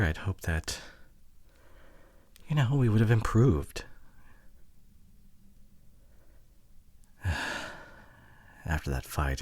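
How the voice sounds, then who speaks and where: Deep-voiced hurt boyfriend, acted by a man. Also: creaky, husky, no room sound, heavily acted. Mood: wistful, frustrated. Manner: hesitant, halting, sighing delivery with soft drops. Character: hurt, introverted boyfriend, awkward and apologetic. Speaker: voice actor. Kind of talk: ASMR boyfriend roleplay audio drama. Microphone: close microphone, dry.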